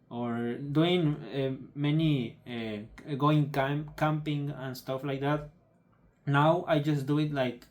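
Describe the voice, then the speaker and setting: Slow, halting man, a Spanish speaker. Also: on the high side, darkish tone. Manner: halting, searching for words, many restarts. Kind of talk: personal video update.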